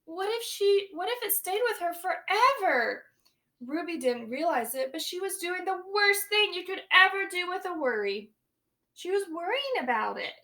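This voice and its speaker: A woman's voice: very high voice, very animated, normal speed, pushed and bright. A woman teacher reading a picture book aloud to young children, stopping to ask them questions and explain words.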